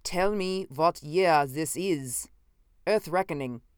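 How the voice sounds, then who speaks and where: A man playing a gaunt, intense scientist from another time, unnerved and cruel, speaking slowly and commandingly. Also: on the high side, animated, clear, resonant tone, no room sound, studio quality. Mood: insistent. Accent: odd, unfamiliar. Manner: slow, deliberate, commanding.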